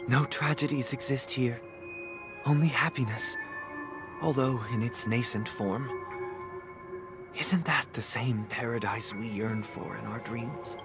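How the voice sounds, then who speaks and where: An actor playing a composed, courteous leader who speaks in measured, philosophical phrases in a game drama. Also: male voice, mid-range voice, natural voice. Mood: grave, persuasive. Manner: measured, polite, lecturing. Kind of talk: dramatic video game voice acting. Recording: music playing behind the voice.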